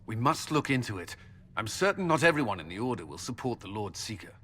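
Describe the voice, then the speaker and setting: A man's voice: on the low side, sing-song, fast, a bit bright, big-room sound. A man playing an earnest, dutiful military commander in a fantasy video game, speaking formally. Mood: earnest, firm.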